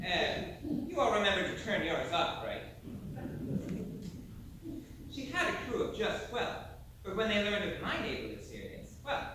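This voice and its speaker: A man's voice: sits high, pushed and bright, exaggerated voice. An actor playing a hearty former navy sailor, telling his tale to an audience in a folksy, theatrical monologue. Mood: wry, confiding. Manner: theatrical, folksy, dramatic pauses. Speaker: stage actor. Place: theatre stage. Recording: echoey stage from far off, faint noise.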